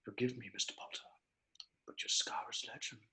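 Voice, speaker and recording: A man playing a menacing aristocratic wizard, coolly polite and deliberate, with a gravelly voice, in a script table read. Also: pushed and bright, natural voice. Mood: silky menace.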